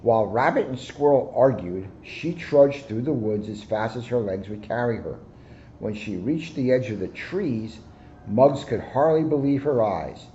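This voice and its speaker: A man, a police officer, reading a children's storybook aloud with lively asides. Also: medium pitch, measured pace, highs cut off, a little hiss or hum.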